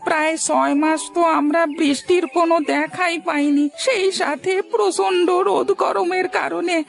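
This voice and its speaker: A woman's voice: very high voice, fairly even intonation, normal speed, vocal fry. A woman voicing a poor, timid male farmer who stammers and pleads with the landlord. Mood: pleading.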